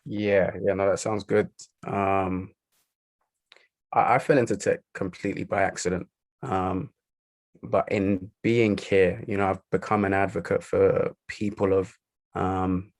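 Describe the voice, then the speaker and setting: A man's voice: lowish voice, gravelly, a little mellow, no echo. A young man in his twenties, a podcast guest, talking about his career with frequent hesitations. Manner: hesitant, lots of ums. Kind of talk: podcast interview.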